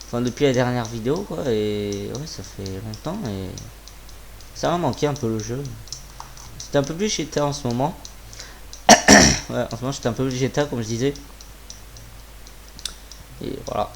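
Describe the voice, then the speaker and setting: A slightly hoarse man with a sore throat giving casual, informal gameplay commentary, muttering to himself and swearing. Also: mid-range voice, moderate pace, pauses often, darkish tone. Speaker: gaming video creator.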